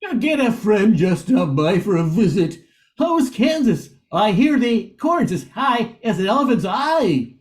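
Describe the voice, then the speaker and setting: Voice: medium pitch, very animated, measured pace. Someone playing a flustered, timid lion police chief. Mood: timid, friendly. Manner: nervous, hesitant, flustered. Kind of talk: comic radio play acting.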